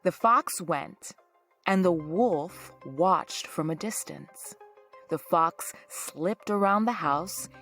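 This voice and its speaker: A woman's voice: lowish voice, takes it slowly, a bit bright, faint music behind. A woman hosting a storytelling podcast, reading scripted narration in a true-crime style.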